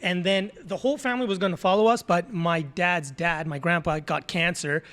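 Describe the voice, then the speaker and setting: A man in his thirties from Azerbaijan whose first language is Hebrew, a podcast guest telling his story in a casual, animated way, acting out quoted people and using lots of fillers. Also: high-pitched, normal speed. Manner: animated retelling with quoted voices, many fillers. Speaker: podcast guest, tattoo artist.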